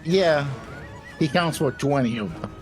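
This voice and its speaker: A man's voice: on the high side, expressive, measured pace, a little mellow, faint music behind. A man co-hosting a reaction show in casual chat.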